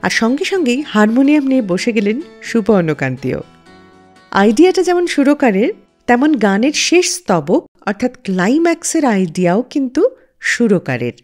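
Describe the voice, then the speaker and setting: Woman doing radio show storytelling, dramatic and really lively up and down, soft music under. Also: on the high side, normal speed.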